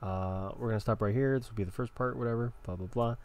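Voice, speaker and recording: A man's voice: mid-range voice, quick pace, darkish tone. A man giving casual gameplay commentary as a let's play host, offhand and rambling.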